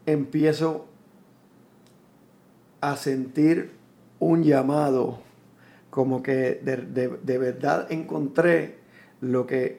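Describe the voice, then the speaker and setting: A Puerto Rican man in his fifties, a military veteran, telling his life story in a reflective, unhurried way, often restarting his sentences. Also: fairly high pitch, gravelly, mellow. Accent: Puerto Rican Spanish. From Puerto Rico.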